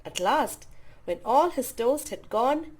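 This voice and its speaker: Female children's storyteller. High, very lively pitch, unhurried delivery, dramatic emphasis for little listeners. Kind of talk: expressive read-aloud of a picture book.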